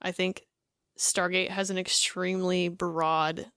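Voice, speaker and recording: A man co-hosting a casual podcast, thinking aloud with hesitant pauses and fillers. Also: high voice, flat delivery, pushed and bright, clean tone, no echo, clean studio sound. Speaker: podcast co-host. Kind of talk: casual chat.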